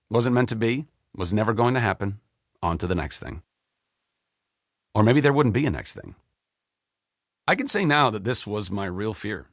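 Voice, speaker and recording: A man in his forties narrates his own memoir in a close, dry studio sound. His fairly low voice swings widely up and down as he leans into the telling. He sounds candid and expressive, as if confiding in the listener. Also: creaky, no echo. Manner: expressive, candid storytelling with wide pitch swings.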